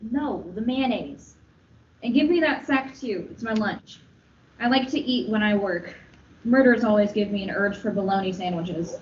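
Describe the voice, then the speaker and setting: A woman playing an offhand, deadpan old morgue doctor in a comic radio play. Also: mid-range voice, moderate pace, close to an everyday voice. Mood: blasé. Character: blasé old morgue doctor, fond of eating at work. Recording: roomy, muffled, noisy recording.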